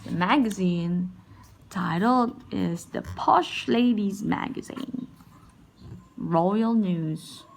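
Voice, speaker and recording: A woman reading a children's picture book aloud in an expressive, animated and unhurried storytelling manner. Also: lowish voice, gravelly, a little hiss or hum. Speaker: storybook reader.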